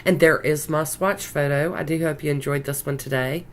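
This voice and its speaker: A congested woman with a croaky voice and a Southern US accent, chatting casually in a folksy, rambling way as she narrates a nail art tutorial. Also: low voice, steady tone, moderate pace. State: congested with a sinus infection, sore throat. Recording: noticeable background noise.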